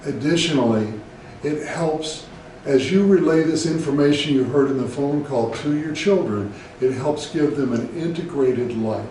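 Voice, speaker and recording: A man with an American accent, a therapist presenting scripted educational advice in a measured, deliberate way. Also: a little high, darkish tone. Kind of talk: scripted educational talk to camera. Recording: very echoey room, distant mic, faint noise.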